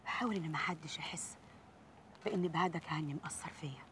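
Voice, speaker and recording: A woman's voice: sits low, brisk, a bit bright, slight background noise. An actress playing a young woman in love who speaks tenderly to her sweetheart, with an Egyptian accent. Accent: Egyptian Arabic. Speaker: Nora.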